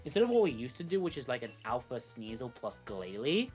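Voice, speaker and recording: A man talks casually as co-commentator on a gaming run. He explains in a halting way, repeating and correcting himself, with music in the background. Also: mid-range voice, sing-song, a little mellow. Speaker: speedrun co-commentator. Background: video game music.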